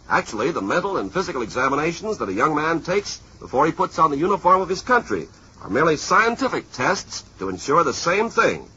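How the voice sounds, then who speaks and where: A very raspy, bright-toned American man speaks with a highly animated pitch on a dull old broadcast. He explains things formally, as a radio announcer reading a script. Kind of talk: scripted vintage public-service radio talk.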